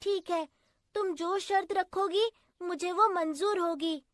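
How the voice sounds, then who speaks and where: A woman's voice: very high voice, slow pace, no room sound, clean studio sound, pitched above the performer's own voice. A woman voicing a brave, kind little girl with an earnest, sweet manner in a children's cartoon story. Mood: pleading, determined.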